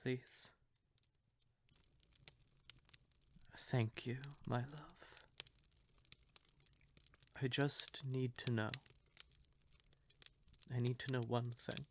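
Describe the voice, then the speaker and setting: A man playing a courtly, possessive vampire lover in an audio roleplay, speaking theatrically and deliberately with syrupy endearments and breathy, quietly menacing undertones. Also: medium pitch, fairly even intonation, a little hiss or hum. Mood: soothing, coaxing, then earnest, pleading. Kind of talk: scripted audio roleplay addressed to the listener.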